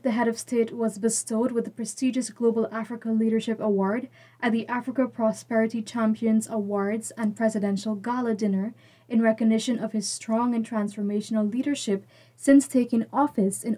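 A woman narrating a government news programme in a clear, scripted newsreader manner. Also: a little high, normal speed. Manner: clear, even newsreader delivery.

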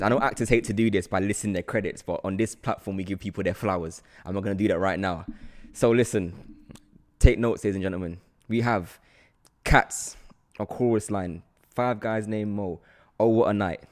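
A man in his twenties hosting a casual interview, talking into a close microphone on a boom arm. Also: a little mellow. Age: 20s. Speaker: podcast host, actor. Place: small indoor room with desk. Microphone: close studio mic on boom arm.

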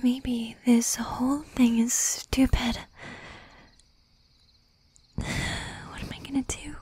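A woman acting as a jealous, doting young demon girlfriend in a soft, breathy ASMR whisper. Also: a little high, heavily acted. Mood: sad, fearful. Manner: slow, intimate, menacing yet doting. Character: jealous, possessive young female demon girlfriend, shy, tender.

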